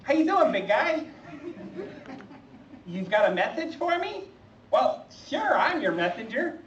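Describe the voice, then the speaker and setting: A whiny, stammering young prophet with a squeaky lisp, as a puppeteer puts him on for a children's church skit. He speaks slowly, with pitch that leaps all over. The sound is muffled and echoey, caught from a distance with faint noise. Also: sing-song. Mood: panicky. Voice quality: squeaky, lisping chipmunk-like voice. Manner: stammering, fretful complaining. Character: young reluctant prophet Jeremiah, nervous and whiny.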